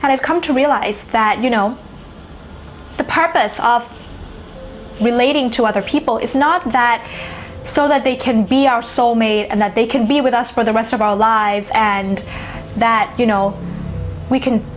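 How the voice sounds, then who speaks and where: A woman's voice: on the high side, measured pace, pauses often, a little hiss or hum. A woman whose first language is Thai, an astrologer musing in casual talk to camera, full of "you know" and "like".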